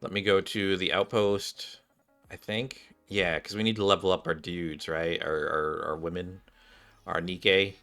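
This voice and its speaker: A man with a fairly low, bright voice hosts a gaming video. He comments casually and chattily on his play, speaking directly to his viewers. Faint game music runs behind him. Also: fairly even intonation, a little slow. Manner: chatty, addresses viewers as guys.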